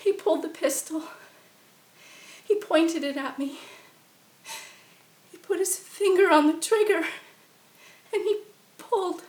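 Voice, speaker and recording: A woman playing the ghost of a murdered 1870s wife, telling her story theatrically, swinging from shrieks to hushed confiding. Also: very high-pitched, slow pace, pauses often, echoey room. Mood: storytelling. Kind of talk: costumed ghost monologue.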